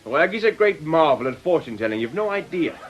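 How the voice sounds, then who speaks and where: A man's voice: a little high, sing-song, fast talker, lo-fi sound, a little hiss or hum. An actor playing a sly, blustering man from a shady club, cajoling and mocking in colloquial radio drama speech. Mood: mock-genial.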